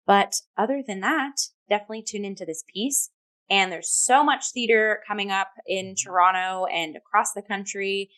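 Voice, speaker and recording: A woman co-hosting a theatre review show, talking casually in an enthusiastic, expressive way. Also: mid-range voice, low-quality recording. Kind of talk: casual chatty review talk.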